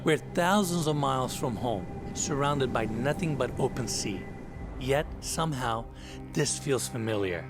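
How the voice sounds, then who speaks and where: A young man whose first language is Turkish, hosting a travel vlog with excited on-location chat and reflective voice-over. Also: mid-range voice, measured pace. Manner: excitable, exclaims and laughs while crawling through tight spaces. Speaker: host. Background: background music.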